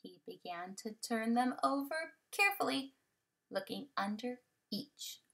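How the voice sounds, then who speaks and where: A woman reading a children's story aloud in an expressive, emphatic storytelling manner. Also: fairly high pitch, unhurried, gravelly. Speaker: story reader, teacher.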